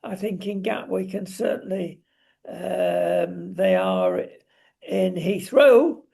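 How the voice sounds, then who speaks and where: A talk show presenter with a gravelly, husky voice, giving wry, opinionated takes in conversational talk about current affairs. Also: medium pitch, big pitch swings, slow pace, dark tone.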